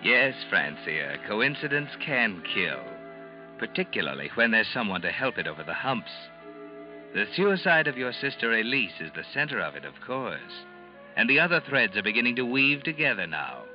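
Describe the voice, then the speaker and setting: A man playing a sardonic, knowing narrator of a 1940s radio thriller, speaking deliberately and ominously. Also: mid-range voice, big pitch swings, natural voice. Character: sardonic, omniscient male narrator addressing the guilty character. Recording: muffled old radio recording, loud music behind.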